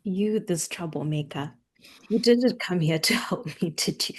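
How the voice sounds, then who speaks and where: A woman's voice: lowish voice, lively intonation, a little slow. An actress playing an anxious, stern mother from a Kenyan village in a staged drama reading, asking pointed questions. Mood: sardonic, suspicious. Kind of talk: staged script read of a drama.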